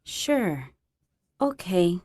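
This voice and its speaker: A woman's voice: on the low side, very animated, drawn-out words, a little mellow, no room sound, clean studio sound, full character voice. A woman playing a troubled teenage girl in a staged drama, sullen, hesitant and defensive.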